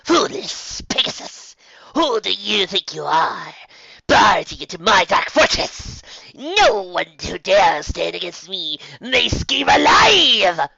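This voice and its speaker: Man doing a shrill, imperious evil enchantress. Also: sing-song, creaky, pushed and bright, dry recording, dull audio, heavily acted. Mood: scornful. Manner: imperious, drawn-out, scornful. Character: evil haughty enchantress pony, imperious villainess. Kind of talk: amateur fantasy storybook reading.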